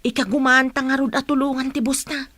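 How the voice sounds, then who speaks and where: An actress with a Filipino Ilocano accent playing an encouraging, advising big-sister figure in a radio drama. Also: female voice, high voice, little pitch movement, brisk, fairly bright tone, no echo.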